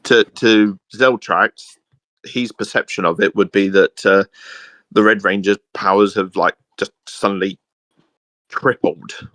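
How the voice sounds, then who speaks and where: Deeper guy chatting casually in short bits, dead dry room, bit halting. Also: steady tone, a bit bright, no room sound, poor audio. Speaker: co-host. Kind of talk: casual chat.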